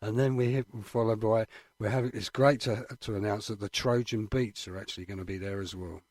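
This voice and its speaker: A man's voice: fairly low pitch, fairly even intonation, brisk, darkish tone, no echo, clean studio sound. An English man co-hosting a radio show, chatting casually in an agreeable, matey way. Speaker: radio co-host.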